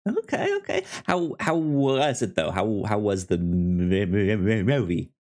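A man's voice: on the low side, very animated, a little slow, a little mellow. A man co-hosting a podcast in casual conversation, with a stutter that repeats syllables and short words. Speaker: podcast co-host. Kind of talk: casual chat and show recap.

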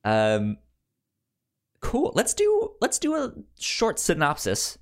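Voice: mid-range voice, wildly swooping pitch, takes it slowly. A podcast co-host chatting casually about a film, stammering and often repeating syllables and words.

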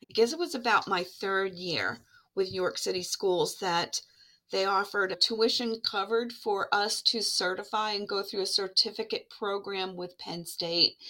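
A woman with a bright, forward voice shares classroom memories on a podcast. She talks in an earnest, reflective way, building each story step by step at a mid pitch. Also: rough recording. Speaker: guest, English learner program administrator.

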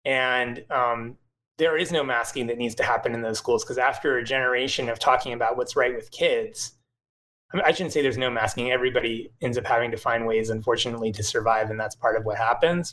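A man's voice: mid-range voice, fairly bright tone, low-quality recording. A man in casual conversation, earnest and thoughtful, often restarting phrases as he explains. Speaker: nonprofit co-founder, podcast guest. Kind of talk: casual chat.

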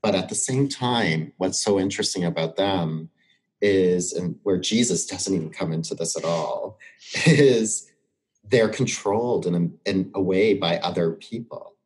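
A man's voice: low voice, a little slow, darkish tone. A man speaking reflectively as a podcast guest, thinking aloud and searching for his words in a conversational discussion.

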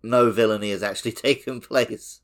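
A man's voice: fairly low pitch, steady tone, moderate pace. A man co-hosting a podcast, chatting casually and conversationally about a video game. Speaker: podcast co-host.

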